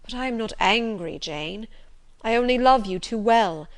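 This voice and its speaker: A stormy middle-aged gentleman pours out his words loudly and urgently, as a woman reads him aloud. He sounds impassioned and forceful in an audiobook telling. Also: on the high side, expressive, normal speed. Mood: anguished. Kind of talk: audiobook reading.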